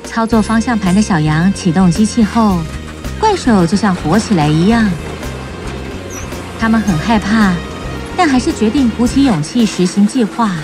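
A woman's voice: medium pitch, normal speed. Woman narrating a children's story over music. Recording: music bed under narration.

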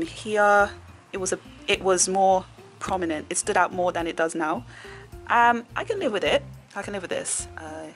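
A woman talks while painting at home, filming as she goes. Her lowish voice is hesitant and full of pauses as she thinks aloud. Faint music plays in the background. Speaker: DIY vlogger. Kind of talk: casual commentary while working.

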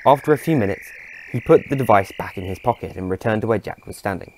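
A man's voice: on the low side, lively intonation, fast talker, a little mellow, a little hiss or hum, low-quality recording. A man narrating a scripted adventure story as its storyteller.

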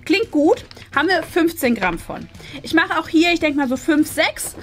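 A woman's voice: sits high, very animated, normal speed. A woman, hosting a casual, chatty product review. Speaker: host of an unboxing video. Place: small decorated indoor room. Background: faint music.

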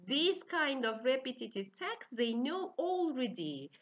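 Slow, high-voiced woman with Uzbek accent. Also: very animated. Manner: instructive, with simple halting phrasing. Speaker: university teaching-methods lecturer. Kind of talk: recorded slide lecture for trainee teachers.

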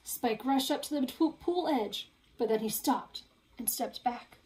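A young woman reads a children's storybook aloud as a teacher would. Her voice is high, and she takes the text slowly. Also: a little mellow, poor audio. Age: young adult. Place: small room at home, indoors.

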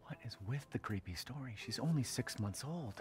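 An actor playing a cautious, protective young father in a video game, speaking softly and earnestly. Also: medium pitch, expressive, normal speed, soft background music, close to an everyday voice. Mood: defensive worry.

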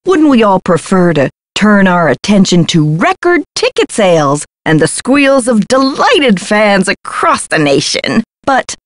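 A woman's voice: medium pitch, big pitch swings, a little slow, hardly any pauses, no room sound. An actress playing a slick, self-important female spokeswoman in a video game, with a grandiose, theatrical manner. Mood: grandiose, solemn.

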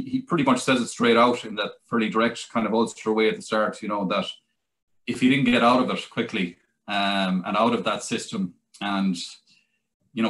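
A man's voice: lowish voice, a bit bright, a little hiss or hum. A middle-aged Irish man, a translator, talking at length on a video call from a small room, with many restarts and fillers. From Ireland. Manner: rambling, many restarts and "you know". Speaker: translator and author. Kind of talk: conversational interview over video call. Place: small indoor room, plain wall. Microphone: video call webcam.

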